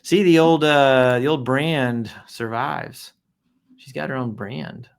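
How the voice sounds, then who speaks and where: Male host, gravelly creak, mid-range pitch. Casual read-aloud and commentary, playful, rambling, big emphasis. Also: slow pace. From American South. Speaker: show host. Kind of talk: casual commentary while reading posts aloud.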